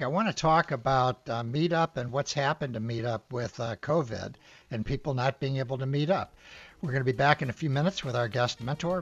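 A man's voice: mid-range voice, husky. A man hosting a radio talk show, interviewing a guest and announcing breaks in an announcer-like way. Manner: announcer-like, energetic intros.

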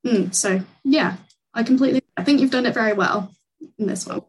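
A woman's voice: a little high, fairly quick, breathy edge, poor audio. Chatty woman podcast interviewer. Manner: friendly, chatty, laughs easily. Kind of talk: casual podcast chat.

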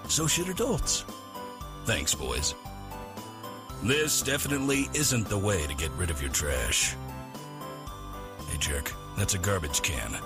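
Sarcastic low male ad voice over music. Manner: scornful, sarcastic. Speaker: ad narrator. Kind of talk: scripted mocking ad narration.

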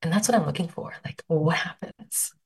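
A woman poet answering interview questions, thinking aloud with lots of "like" fillers and an animated, conversational manner. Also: deep voice, moderate pace, slightly rough.

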